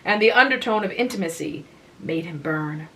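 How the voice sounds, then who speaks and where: A woman giving a calm, relaxing reading of a literary short story. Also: on the low side, poor audio. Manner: slow, calm, unhurried. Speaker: reader of literature.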